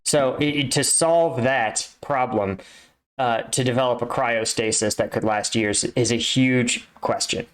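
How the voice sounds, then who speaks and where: A man's voice: medium pitch, measured pace. A man hosting a reaction video, explaining things in a casual, conversational way and often checking in with the listener. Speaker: reaction video host, army veteran.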